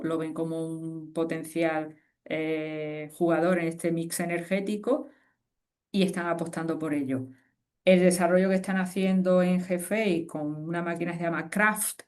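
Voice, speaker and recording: A woman, a guest expert speaking in a conversational webinar interview, animated and explanatory with frequent fillers and restarts. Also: fairly low pitch. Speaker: guest expert on fusion energy.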